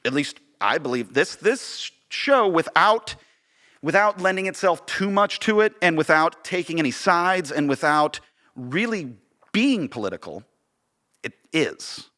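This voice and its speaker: American man with very animated pitch. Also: measured pace. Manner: hesitant, searching for words, repeats phrases. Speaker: podcast co-host, director. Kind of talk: casual podcast discussion.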